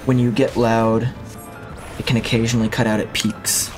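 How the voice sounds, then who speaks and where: A man chatting casually to his viewers while he plays a game, talking loosely and thinking out loud with frequent restarts. His voice is a middle pitch for a man and comes through a home streaming mic. Soft game music plays underneath. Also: level tone, normal speed. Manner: rambling and reflective, with many restarts. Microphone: streaming mic with a limiter.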